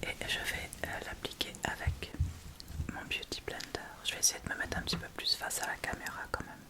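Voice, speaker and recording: A woman whispering breathily and gently in a casual ASMR tutorial style, explaining step by step without hurry, close to the microphone. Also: a little fast. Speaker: ASMR video creator.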